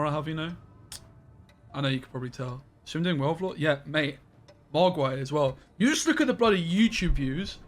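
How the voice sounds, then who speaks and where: A young man chatting casually with viewers on a live game stream from his bedroom, rambling and greeting people by name. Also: a little high.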